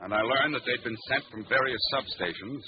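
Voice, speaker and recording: An actor playing a gruff, self-assured police commissioner with an American accent in a 1940s radio drama. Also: male voice, medium pitch, fairly quick, over music, natural voice. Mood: stern. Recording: muffled, noisy old radio recording.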